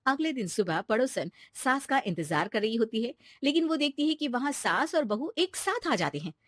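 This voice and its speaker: A woman with a high voice narrates a scripted cartoon story. The sound is dry, with no room around her. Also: sing-song, moderate pace, a bit bright, no echo.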